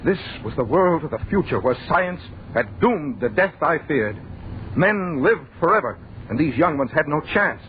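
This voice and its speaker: A man's voice: fairly high pitch, sing-song, normal speed. An actor playing a horrified man who tells of being flung into a deathless future, intense first-person acting in a noisy, muffled old-time radio horror play. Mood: anguished defiance. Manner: dramatic, intense.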